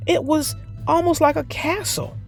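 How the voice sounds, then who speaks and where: A woman narrating a children's read-aloud as storyteller, expressive and deliberate. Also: sits high, vocal fry, faint music behind.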